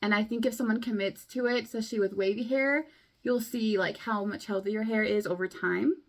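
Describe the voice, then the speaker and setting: A young woman vlogging casually to camera, chatty and rambling, her voice a bit hoarse from a cold. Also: medium pitch, fairly quick. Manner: chatty, rambling, many likes and ums. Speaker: beauty vlogger.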